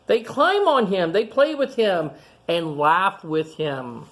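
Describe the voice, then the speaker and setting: A woman doing a storytime for young children, reading and explaining in simple, repetitive words with gentle questions to her listeners. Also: fairly low pitch, expressive, slow pace. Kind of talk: picture-book read-aloud and simple chat to young children.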